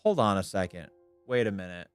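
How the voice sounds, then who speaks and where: Clear-voiced man chatting on stream. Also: sits low, lively intonation, fairly quick, no echo, faint music behind. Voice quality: clear voice without gravel. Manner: rambling, candid, swears freely. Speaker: VTuber streamer. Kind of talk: casual livestream chat.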